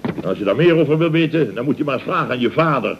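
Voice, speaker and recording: A man's voice: medium pitch, animated, quick pace, background noise, lightly acted. A man acting a pompous, flustered uncle, swooping voice. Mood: indignant bluster. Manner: blustering, stammering, grandiose. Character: pompous, easily flustered middle-aged building contractor uncle. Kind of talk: radio comedy serial acting. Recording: muffled old radio recording.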